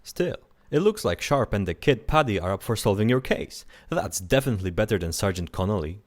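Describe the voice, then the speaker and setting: A man's voice: on the low side, expressive, fairly quick, close to an everyday voice. A man playing a dry, sarcastic spirit guide to the dead in an audio drama. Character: wry male spirit guide to the afterlife.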